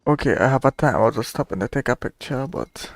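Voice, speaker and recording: A man's voice: mid-range voice, animated, brisk, no room sound. A man giving casual reactions as he plays a video game. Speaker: game player commenting over cutscenes.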